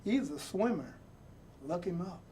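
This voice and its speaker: A man reading a picture book aloud in an emphatic, deliberate way, repeating phrases for weight and adding his own reflective asides. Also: high-pitched, lively intonation, dark tone, a little hiss or hum. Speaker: storybook reader and presenter.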